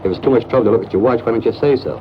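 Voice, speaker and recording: Noisy, muffled old radio recording. A man plays a brusque, sarcastic passenger who talks extremely fast. He sounds impatient, in 1940s radio drama style. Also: medium pitch, close to an everyday voice. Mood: irritated, sarcastic. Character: irritable, impatient male train passenger.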